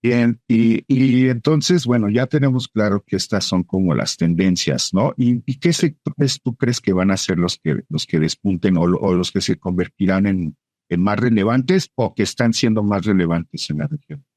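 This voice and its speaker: A man's voice: fairly low pitch, normal speed, a little mellow, no room sound, clean studio sound. A man hosting a podcast, putting questions to his guest in a conversational way. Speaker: podcast host, interviewer. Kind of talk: conversational interviewing.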